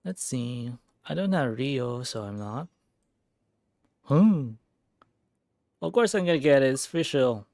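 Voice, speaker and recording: A man's voice: medium pitch, lively intonation, measured pace, long pauses, darkish tone, no echo, studio quality. A man chatting casually on a game livestream, musing aloud to himself as he plays. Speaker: game streamer. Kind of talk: casual livestream gameplay chat.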